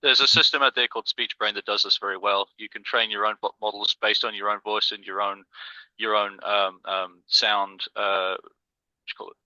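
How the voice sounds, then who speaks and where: A man's voice: on the low side, flat delivery, moderate pace, pushed and bright, no echo. A man with an accent between New Zealand and English, explaining technical points in a casual online meeting. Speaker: community member in online meeting. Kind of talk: casual discussion. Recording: slightly muffled call audio.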